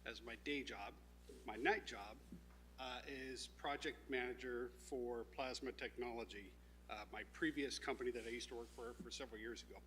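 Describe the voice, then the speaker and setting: A man presenting his company's plans at a town zoning meeting, speaking informally with many fillers and restarts, and a loud voice by his own account. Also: medium pitch, moderate pace, a little hiss or hum, rough recording. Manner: says he has a loud voice.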